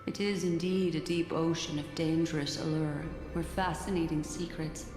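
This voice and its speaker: An actress voicing a composed, enigmatic woman in a video game, musing in a measured, cryptic way. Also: sits low, steady tone, natural voice. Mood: pensive. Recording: echoey reverberant space, music behind.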